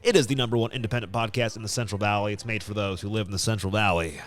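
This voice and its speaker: An American man hosting a comedic podcast in a casual, joking and theatrically expressive way. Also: fairly low pitch, very fast. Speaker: podcast host.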